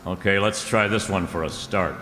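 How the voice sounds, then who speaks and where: A man's voice: low voice, normal speed, bright, forceful tone, faint music behind. A man acting a wry, tough private detective in an old-time radio drama, with a sardonic, wisecracking delivery. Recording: hall-like reverberant radio play recording.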